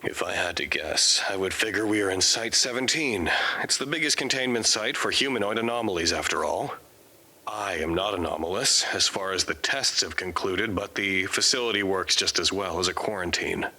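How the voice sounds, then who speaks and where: A man playing a weary, formal quarantined character, narrating his confinement in measured, articulate audio drama monologue. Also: low-pitched, sharp tone, heavily acted. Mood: resigned, measured.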